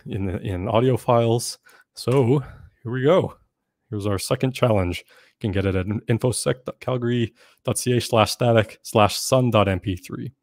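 Low-voiced young man teaching, dry sound. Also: no room sound. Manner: relaxed, filler-heavy explaining with frequent "um". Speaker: workshop presenter. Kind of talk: casual online workshop teaching. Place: small room at home. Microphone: desk mic on stand, webcam.

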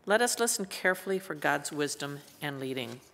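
An American woman in her seventies reading scripture formally and in a measured way at a church pulpit. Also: deep voice, animated, creaky, fairly bright tone. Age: 70s. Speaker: lay scripture reader. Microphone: gooseneck lectern microphone. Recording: reverberant church hall.

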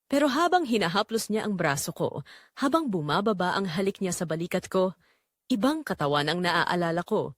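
A woman's voice: lowish voice, expressive, normal speed, no echo, clean studio sound. A woman with a Filipino accent reading a first-person scripted drama in a confessional, storytelling manner. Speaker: audio drama narrator.

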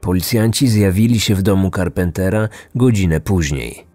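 A man's voice: low voice, moderate pace, warm tone. A man in his thirties hosting and narrating a scripted true crime podcast. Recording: music behind, some reverb. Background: music.

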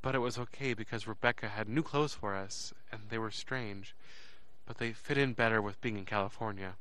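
A man's voice: fairly low pitch, fairly bright tone, clear, resonant tone, lightly acted. Actor as an earnest young man recounting his story. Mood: earnest, relieved. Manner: deliberate, dramatic phrasing with pauses and repetitions. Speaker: narrator. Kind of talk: first-person audio drama narration.

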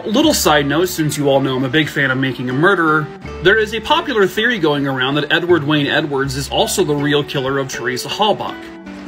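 A man in his thirties recording himself on a phone indoors, telling true crime cases casually and breaking off into sarcastic jokes. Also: fairly high pitch. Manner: sarcastic jokey asides. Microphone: phone at arm's length.

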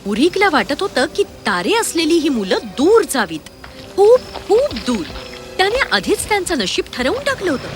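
High-pitched, lively woman storyteller. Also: moderate pace. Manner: expressive, dramatic storytelling. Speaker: narrator. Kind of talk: scripted children's fairy tale narration. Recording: music clearly under the voice.

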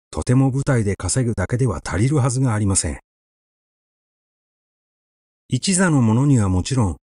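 Man reading a story with lively intonation. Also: mid-range voice, no echo, clean studio sound. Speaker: audiobook reader.

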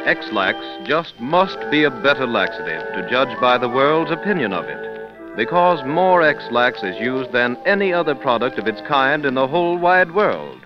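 A man's voice: medium pitch, very animated, normal speed, music in the background. A man announcing in emphatic, polished 1930s radio sales style. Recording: muffled 1930s radio recording.

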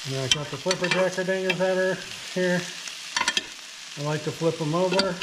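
A man's voice: high-pitched, steady tone, moderate pace, heavy background noise. A man in his thirties filming a casual cooking vlog in his home kitchen, joking and chatty with lots of fillers. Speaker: vlogger cooking meal prep.

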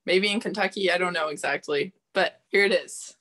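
A woman's voice: fairly low pitch, moderate pace, loud music behind the voice. A young woman on a video call, chatting casually and then singing a folk tune, strumming ukulele in a small room at home. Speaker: open mic performer. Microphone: webcam on video call. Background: her own ukulele playing.

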